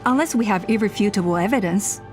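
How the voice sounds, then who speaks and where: A composed female officer from a video game , played by a woman, with a medium-pitched voice. She speaks in a measured, firm way with calm authority. Light music runs behind her. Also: close to an everyday voice.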